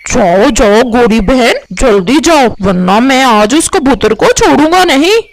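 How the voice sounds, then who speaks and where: A woman's voice: on the high side, expressive, a little slow, a little hiss or hum, poor audio. A woman voicing an indignant, hot-tempered male bird in a children's cartoon story. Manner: indignant, demanding, easily provoked. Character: proud, touchy, hot-tempered male parrot and pigeon. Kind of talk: animated children's moral story dialogue.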